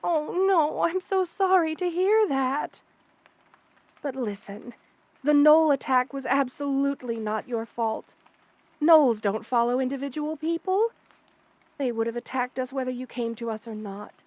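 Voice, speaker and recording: Gentle, high-voiced motherly spider queen played by a woman. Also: no echo. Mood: tender concern. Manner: gentle, soothing, hesitant pauses, formal wording. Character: kind, motherly spider queen monster woman, tender and protective. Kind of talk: ASMR roleplay, intimate one-sided dialogue to the listener.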